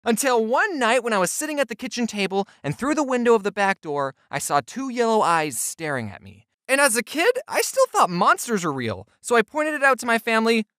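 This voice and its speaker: Comedic storyteller for scripted narration. Expressive, wide-ranging intonation, playful with deadpan asides, very dry studio-like sound. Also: medium pitch, fairly quick, few pauses, no room sound. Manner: playful, self-deprecating, with deadpan asides and acted quotes.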